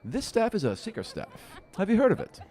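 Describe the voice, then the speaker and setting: Halfling seeker for fantasy game, played by a man: small, jaunty, teasing, raised pitch, swooping delivery. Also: wildly swooping pitch, brisk, darkish tone. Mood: playful, cryptic. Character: chipper, cryptic little halfling seeker in official robes. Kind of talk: tabletop role-play.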